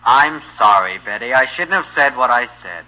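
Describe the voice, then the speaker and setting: An actor playing a put-upon, smart-alecky adolescent son in an old-time radio sitcom, with a whiny manner. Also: male voice, mid-range voice, lively intonation, normal speed, pushed and bright. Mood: sheepish. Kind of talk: vintage American radio sitcom acting. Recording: humming, noisy old radio recording.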